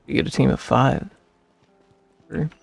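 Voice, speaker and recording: A man's voice: mid-range voice, measured pace, creaky, slightly rough, no echo. A man giving casual gameplay commentary, rambling and thinking aloud in a self-deprecating way. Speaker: streamer playing a video game.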